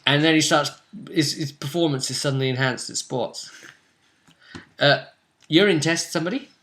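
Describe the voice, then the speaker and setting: A man casually talking through a tabletop role-playing game as game master, often pausing and thinking aloud in broken phrases. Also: medium pitch, fairly even intonation, sharp tone. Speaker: game master, host of a role-play vidcast. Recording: roomy, echoing room sound.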